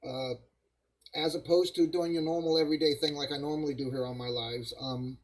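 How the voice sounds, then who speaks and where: A man with a cold, hoarse and coughing, hosting a live stream and chatting casually to viewers while building models. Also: a little high, steady tone, fairly quick, slight background noise. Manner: rambling, friendly, greets viewers by name.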